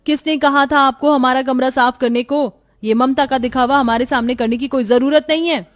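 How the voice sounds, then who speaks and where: A woman's voice: high voice, steady tone, moderate pace, natural voice. A woman playing emotional women of a troubled family in a moral story, with emphatic, melodramatic delivery. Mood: angry, scornful. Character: dramatic young and motherly women of a troubled family.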